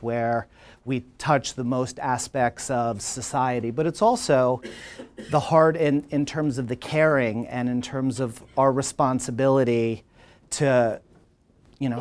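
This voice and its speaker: Earnest New Jersey dean, lecture hall. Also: male voice, mid-range voice. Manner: earnest, animated, gesturing while talking. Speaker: college dean introducing a speaker. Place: large lecture hall, indoors.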